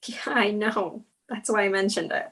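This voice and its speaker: A woman's voice: mid-range voice, steady tone, moderate pace, a bit bright, breathy edge. A woman playing a candid, exasperated young girlfriend with a wry manner in a comedy script table read.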